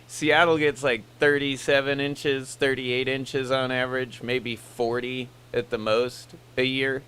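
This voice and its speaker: A man's voice: medium pitch, level tone, slow, a bit bright, smooth. An American man chatting casually as a CB radio operator. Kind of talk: casual two-way radio chat. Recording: CB radio with background hum and noise.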